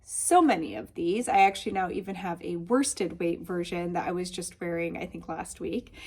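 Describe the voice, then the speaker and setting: A woman with a Michigan accent chats bubbly and casually about her craft, full of asides. Her voice sits fairly high and comes out congested and a little nasal, like someone with a cold. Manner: chatty, bubbly, with many asides. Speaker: knitting podcast host. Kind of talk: casual chat.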